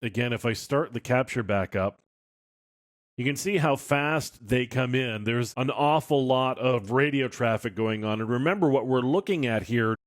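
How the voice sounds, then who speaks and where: Male tutorial instructor, middle-pitched voice, informal walk-through delivery, very dry sound. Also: normal speed, no echo. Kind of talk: screencast tutorial, explaining as he demonstrates.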